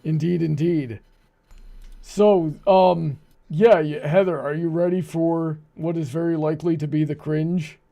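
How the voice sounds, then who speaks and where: A male livestream host with a fairly high voice and plenty of gravelly creak. He chats casually with his audience and co-host. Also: steady tone, unhurried, dark tone.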